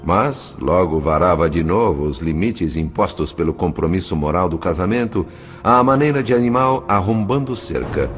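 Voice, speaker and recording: A man reading scripted literary narration as an audiobook narrator, in a measured, formal storytelling manner. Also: deep voice, expressive, faint music behind.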